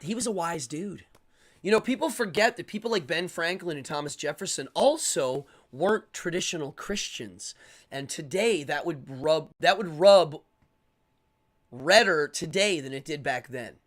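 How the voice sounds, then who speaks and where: A man hosting a live stream, talking casually and opinionatedly as he answers chat, rambling and repeating words in quick bursts. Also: fairly high pitch, lively intonation, a bit bright. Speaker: live-stream show host.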